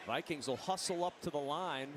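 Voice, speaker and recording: A man's voice: a little high, normal speed. A man giving professional football play-by-play as a television announcer. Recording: broadcast feed with clear stadium crowd. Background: stadium crowd.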